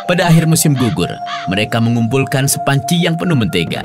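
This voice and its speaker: A man narrating a scripted children's story, with loud music behind him. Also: mid-range voice, sing-song, a little fast.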